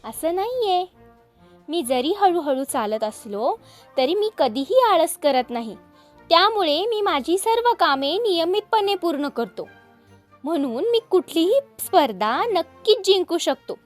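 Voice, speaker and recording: A steady, humble tortoise from a children's storybook, played by a woman. The voice is high and very unhurried, calm and gently firm as it explains itself. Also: soft background music, exaggerated voice. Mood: calm, assured.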